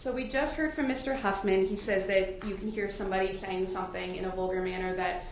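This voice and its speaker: A woman's voice: mid-range voice, steady tone, a little fast. Echoey, distant woman attorney questioning jurors. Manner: polite, probing, repeats answers back. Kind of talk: courtroom questioning of a jury panel. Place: large indoor courtroom. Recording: very echoey courtroom, distant mic, muffled, faint noise.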